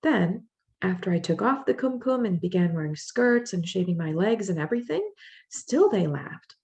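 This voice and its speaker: A woman voicing a young immigrant mother in labor, reading her thoughts in the first person in a plain, gently rueful way. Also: lowish voice, expressive, moderate pace. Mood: reflective.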